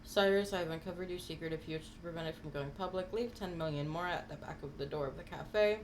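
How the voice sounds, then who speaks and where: A woman's voice: low-pitched, fast talker, a little hiss or hum. A woman game streamer giving casual commentary with wry, reactive asides, close on the mic. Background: game music.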